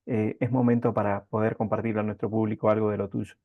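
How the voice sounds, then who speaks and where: A man interviewing in a conversational way, speaking haltingly and reflectively in circling phrases. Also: fairly low pitch, little pitch movement, phone-quality sound.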